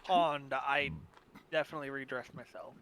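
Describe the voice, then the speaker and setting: A man's voice: medium pitch, fairly even intonation, normal speed, a bit bright. A man talking casually as a player in a tabletop role-playing game. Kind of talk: casual chat.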